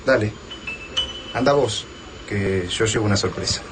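An Argentine actor playing an eager, smitten leader of a survivor band in a radio drama. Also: male voice, fairly low pitch, unhurried, noisy background, close to an everyday voice. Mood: flirtatious.

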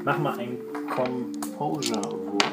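A man's voice: medium pitch, unhurried, creaky. Guy running a tabletop rpg, casual explaining, echoey room, music under it. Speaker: game master. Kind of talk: narrating a tabletop role-playing game.

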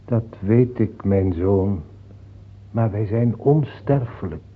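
Saintly priest, radio drama, husky male voice, solemn, measured, admonishing, tinny narrow-band recording. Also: lowish voice. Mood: calm, grave. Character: grave, saintly holy father, frank counsellor to a king. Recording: muffled, tinny old radio-play recording.

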